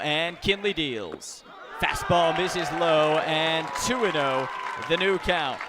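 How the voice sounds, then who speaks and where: A man calling baseball play-by-play live on the radio, phrasing deliberately and swelling on the big plays. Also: high voice. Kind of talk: live radio sports commentary. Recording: noisy outdoor ballpark with crowd. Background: ballpark crowd noise.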